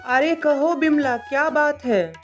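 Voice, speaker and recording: A woman playing a domineering, bad-tempered merchant's wife in an animated moral story, scolding and haughty. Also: high voice, measured pace, soft background music. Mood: brisk, haughty.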